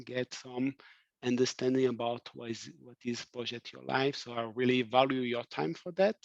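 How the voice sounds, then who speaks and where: A man with a Portuguese accent, raised in France, giving a webinar talk, halting with many fillers and restarts. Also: mid-range voice, no room sound. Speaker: guest speaker. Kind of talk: webinar presentation. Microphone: video call. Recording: dry, dull video call audio.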